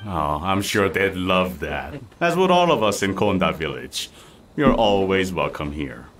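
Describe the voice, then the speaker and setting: A man's voice: deep voice, big pitch swings, measured pace, recorded from a distance, background noise. A man playing a friendly, modest village family man in a video game, chatty and considerate. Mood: thoughtful. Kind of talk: scripted video game dialogue.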